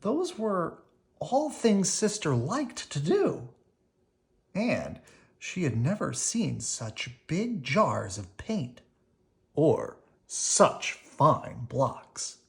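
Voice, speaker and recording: A woman reading a children's storybook aloud in an animated, unhurried way for young listeners. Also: low voice, vocal fry. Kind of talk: expressive read-aloud storytelling.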